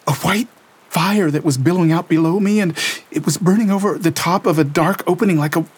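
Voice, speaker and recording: A man's voice: brisk, husky. A man voicing a frightened young woman who pleads urgently through tears. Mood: shaken. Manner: raised pitch, pleading and urgent.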